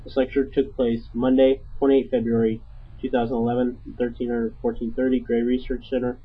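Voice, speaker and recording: A man reads a scripted program introduction in an even, measured way. His voice is husky and flat, and the recording sounds a bit muffled and dull. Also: mid-range voice. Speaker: program announcer. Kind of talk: scripted introduction read aloud.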